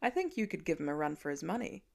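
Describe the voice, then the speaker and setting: A woman voicing a warm, wry, reassuring father in a storybook reading, talking gently. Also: deep voice, very animated, quick pace, vocal fry, voice pushed down, heavily acted.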